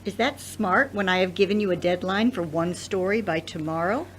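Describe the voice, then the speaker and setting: A woman's voice: fairly low pitch, normal speed, close to an everyday voice. A woman as an exacting boss, noisy recording. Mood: probing. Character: exacting, probing woman boss testing her employee.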